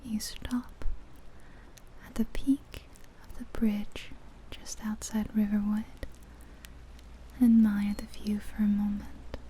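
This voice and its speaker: A woman gives a soothing ASMR guided visualization in a breathy, whispered soft voice. She speaks very slowly and gently, pausing often, with a deeply mellow, relaxed tone. The microphone is close, with faint ambient noise behind her. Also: rough recording. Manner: slow, gentle, soothing, with long pauses. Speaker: ASMR host and narrator. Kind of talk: ASMR guided visualization, scripted second-person narration. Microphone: close ear-to-ear ASMR microphone.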